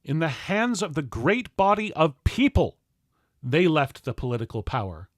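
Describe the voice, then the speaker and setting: A man playing a 19th-century general, delivering a formal political address with loud, emphatic, solemn oratory. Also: on the high side, sing-song, normal speed, no echo, studio quality, voice pushed up. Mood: fervent, defiant. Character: impassioned 19th-century general orating to the American people. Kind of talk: declamatory political oratory, formal speech reading.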